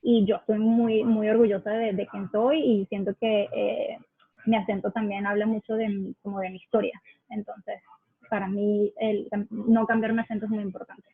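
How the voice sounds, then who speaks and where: A woman from Venezuela with a Venezuelan accent, speaking reflectively as a guest, with many pauses and restarts. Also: mid-range voice, level tone, vocal fry, husky, narrow, tinny audio. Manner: reflective, many fillers and self-corrections. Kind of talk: conversational interview.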